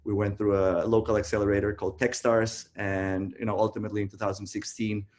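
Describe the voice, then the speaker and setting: A low-pitched man from Costa Rica speaks in an even, flat tone. He tells his story in a relaxed way, full of fillers and restarts, over a video call. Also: quick pace. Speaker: guest, tech founder. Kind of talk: casual virtual interview.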